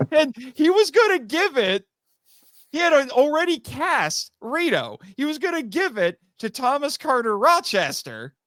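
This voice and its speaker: A man speaking as a podcast guest and amateur voice actor, telling stories casually in an animated, self-amused way. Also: very high-pitched, unhurried, no room sound, clean studio sound. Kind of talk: casual interview storytelling.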